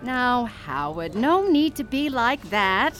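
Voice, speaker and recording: A woman's voice: fairly high pitch, sing-song, slow pace, fairly bright tone, faint music behind, heavily acted. An actress playing a chatty, motherly diner waitress in a radio drama. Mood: friendly. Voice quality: homely, chatty.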